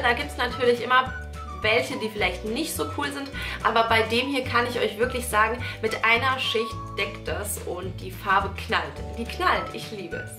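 A young woman in her twenties with a stuffy nose from a cold, chatting enthusiastically as a beauty vlogger. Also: on the high side. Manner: chatty, gushing, addresses viewers as girls. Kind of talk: casual product-recommendation vlog. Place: small indoor room. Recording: echoey room with music behind.

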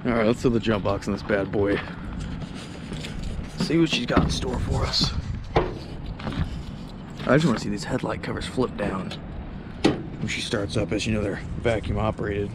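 A man's voice: on the low side, normal speed, pauses often. Young guy checking over an old car, easygoing, fillers, noisy camera audio. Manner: relaxed, joking, lots of "like" fillers. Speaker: car restorer. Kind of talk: casual hands-on chat. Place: outdoor lot, then a garage workshop.

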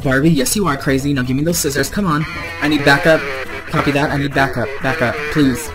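A man voicing a brisk, matter-of-fact male doctor or guard in a children's toy story. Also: medium pitch, fairly even intonation, over music, pitched below the performer's own voice, natural voice. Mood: commanding.

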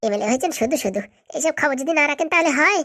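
A woman's voice: high-pitched, expressive, racing, a bit bright, no room sound, studio quality. A woman voicing a bossy, boastful, scheming female power broker in a cartoon, brash and commanding. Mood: brusque, proud. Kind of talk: amateur cartoon voice acting.

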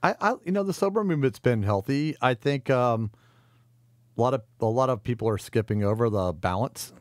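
A man being interviewed as a restaurateur guest, talking casually in halting phrases with repeated words. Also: mid-range voice, lively intonation, dry recording, clean studio sound.

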